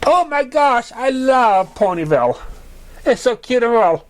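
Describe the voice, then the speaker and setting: A man's voice: very high voice, sing-song, unhurried, pitched above the performer's own voice, exaggerated voice. A man voicing a bubbly, chatty young pony mare in an amateur story reading, gushing and cheerful.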